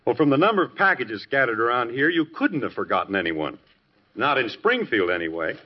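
A muffled old radio recording carries a middle-aged American husband from a family sitcom. He is wry and nostalgic, grumbling gently, with a medium-pitched voice that moves around freely. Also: sing-song, a little fast, slightly rough. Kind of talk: 1950s radio sitcom acting.

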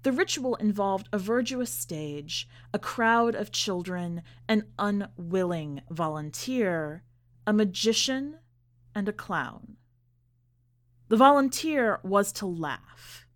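A woman reads a literary short story aloud at a mid-range pitch. Her delivery is measured and deliberate, and she leaves dramatic pauses between phrases. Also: expressive. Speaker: podcast host and story reader.

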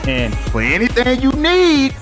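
A man hosting a fitness podcast, talking in an energetic, slangy, casual way with his co-host. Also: very high voice, measured pace, music in the background. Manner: energetic, hype, slangy banter. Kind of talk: casual chat.

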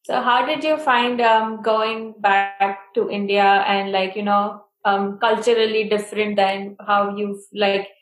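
A woman asking questions in a relaxed interview, her pitch sitting in a middle range. Her voice carries a roomy echo around it. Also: measured pace, few pauses. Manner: easygoing, laughs along. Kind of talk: casual interview.

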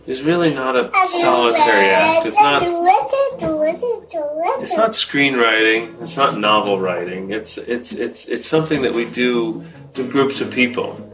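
A man talking informally to camera in a promotional home video, explaining his ice cream business in roomy-sounding audio. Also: mid-range voice. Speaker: ice cream shop founder.

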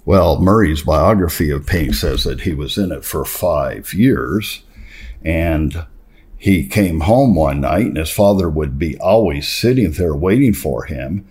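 A man with a very low, mellow voice reads a religious memoir aloud. His intonation swings widely as he tells it earnestly and emphatically. He pauses for effect and steps out of the text for brief explanatory asides. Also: lively intonation, husky. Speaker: reader and narrator of religious history.

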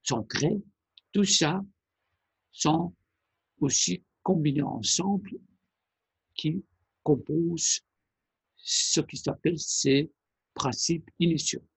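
Male presenter, measured and hesitant, husky low-energy delivery with a dry sound. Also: dry recording, rough recording. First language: Persian. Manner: deliberate, halting phrasing with pauses. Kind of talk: explanatory monologue.

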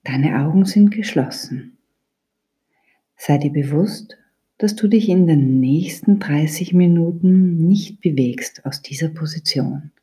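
Woman doing guided meditation, really slow and calm, soft half whispery voice, mellow tone. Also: low-pitched. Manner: calm, soothing, deliberate instruction with pauses. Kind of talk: guided yoga nidra meditation.

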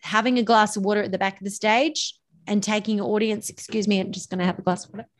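A woman's voice: medium pitch, fast talker. A middle-aged woman, a voice coach, giving emphatic public speaking advice in a podcast interview. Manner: animated and emphatic, stretches words for effect. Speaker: voice coach, guest.

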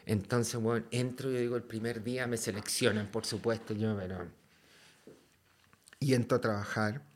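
A man from Chile with a Chilean accent, a podcast guest telling a story in a casual, lively way, full of slang and swearing. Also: lowish voice, moderate pace.

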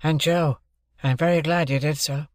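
A man's voice: fairly high pitch, steady tone, normal speed, dry recording, studio quality, natural voice. A man voicing an earnest young man with new prospects, speaking in a somewhat formal, eager way in a storybook reading.

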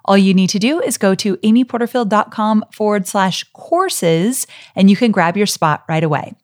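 A woman's voice: medium pitch, moderate pace. A middle-aged woman hosting a solo podcast, talking straight to her listeners in an encouraging, friendly way. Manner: warm, encouraging, direct address to listeners. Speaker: podcast host. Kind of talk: solo podcast teaching talk.

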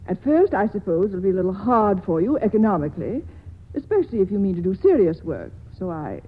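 A woman's voice: medium pitch, big pitch swings, a little fast, slightly rough. An actress in a 1940s radio drama playing a wealthy, worldly society woman, poised and dryly amused. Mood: resigned. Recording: tinny, noisy 1940s radio broadcast.

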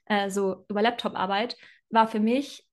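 A woman's voice: mid-range voice, steady tone, normal speed. Reflective young woman, chatty guest. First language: bilingual German and Spanish. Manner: reflective, many fillers, laughs often. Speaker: guest, self-employed in personal branding. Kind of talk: casual interview conversation.

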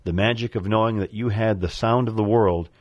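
A middle-aged man giving a scripted, nostalgic narration in measured, formal phrasing, as a contributor to a radio show. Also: lowish voice, fairly even intonation, no room sound, highs cut off.

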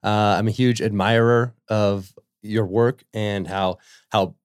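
Deep-voiced man hosting a podcast. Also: steady tone, smooth, no room sound, studio quality. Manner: earnest, halting with many fillers when asking questions. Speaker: podcast host. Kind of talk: podcast introduction and interview questions.

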